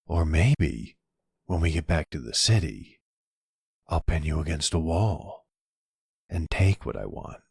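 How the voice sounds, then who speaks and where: Man playing a gravelly, flirty male vampire. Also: a bass voice, sing-song, unhurried, long pauses, no echo, clean studio sound, full character voice. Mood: seductive teasing.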